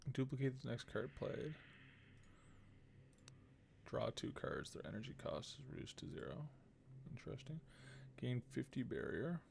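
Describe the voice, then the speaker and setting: A man doing casual video game commentary as a streamer, thinking aloud in short, low-key mumbled phrases. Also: big pitch swings, unhurried, vocal fry, pauses often, a little mellow, a little hiss or hum. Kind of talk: casual gameplay commentary, reading card text aloud. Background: game music.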